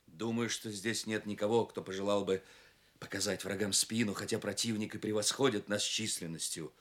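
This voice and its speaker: An actor playing a steadfast, brave knight in an audio drama. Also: male voice, on the low side, fairly even intonation, moderate pace, fairly bright tone, lightly acted. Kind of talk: Soviet audio drama acting.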